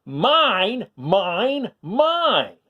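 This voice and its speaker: A bratty young dinosaur bully for a children's storybook, acted out by a man. The voice is pushed up high and roars out loud, greedy, possessive shouts. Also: wildly swooping pitch, drawn-out words, lo-fi sound, exaggerated voice.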